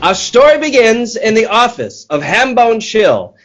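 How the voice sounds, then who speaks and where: A man's voice: extremely high pitch, very animated, a little slow, over music. A man announcing and narrating in the emphatic, showy style of 1940s radio.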